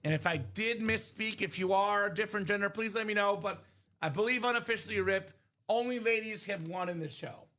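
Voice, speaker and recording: A young man from Bulgaria hosting a live sports card break stream, upbeat and joking, shouting out buyers by name. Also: high-pitched, fairly quick. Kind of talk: casual live-stream sales chat.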